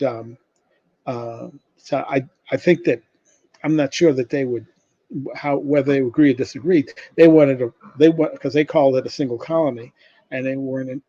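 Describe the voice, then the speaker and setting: A dull, muffled recording carries the husky, dark-toned voice of an older man. He talks like a professor answering questions, informally working through an explanation. His delivery is halting, often restarting and rephrasing as he goes. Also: mid-range voice, no echo. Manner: halting, with frequent repeated starts and self-corrections. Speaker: sociology professor and presenter.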